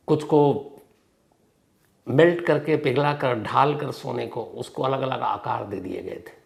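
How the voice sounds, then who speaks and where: A man hosting a crime storytelling show, speaking conversationally with dramatic pauses, strong stresses and phrase endings that drop away. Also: vocal fry, darkish tone. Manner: dramatic pauses and emphatic stresses, sentences trailing off low.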